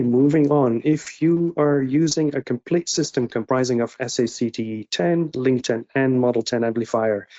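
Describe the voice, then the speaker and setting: Man on muffled webinar audio, medium pitch. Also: a little mellow. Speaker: co-host reading out audience questions. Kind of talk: webinar Q&A, reading questions aloud. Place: indoor room on a video call. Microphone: video call microphone. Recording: dull, band-limited video-call audio.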